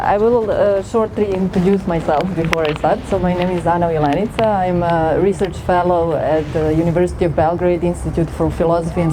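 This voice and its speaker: A woman from northern Serbia, a research fellow speaking on an outdoor city tour in a hesitant, friendly way with many fillers. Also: lowish voice. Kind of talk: talk on a guided city tour. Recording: outdoor street noise. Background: street traffic.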